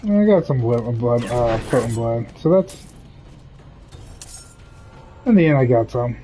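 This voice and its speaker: A man's voice: medium pitch, lively intonation, unhurried, mellow, faint music behind, low-quality recording. A man chatting candidly while streaming a video game, rambling through personal stories with laughs and the odd swear. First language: English. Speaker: gaming streamer. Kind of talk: casual chat while gaming. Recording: game sounds behind the voice.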